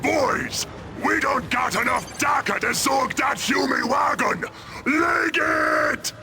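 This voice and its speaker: Bellowing gravelly ork pirate captain. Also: male voice, extremely high pitch, slow pace, sharp tone, raised voice, soft background music, heavily acted. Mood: shouting, rallying. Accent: Cockney English. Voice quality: gravelly, growling. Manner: bellowing, crude ungrammatical slang. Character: brash, scheming male ork pirate captain hungry for loot. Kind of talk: video game cutscene acting.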